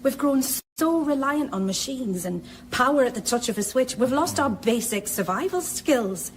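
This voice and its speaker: A woman's voice: sits high, animated, normal speed, slight background noise, rough recording. Kindly, disillusioned working woman. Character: kindly, disillusioned female colleague. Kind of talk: naturalistic radio drama acting.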